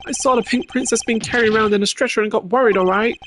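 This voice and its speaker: A man voicing a whiny, excitable, dim young murder suspect from a video game, plaintive and over the top, with music underneath. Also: high voice, quick pace, slight background noise, pitched above the performer's own voice. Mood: defensive pleading. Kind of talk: video game character dub.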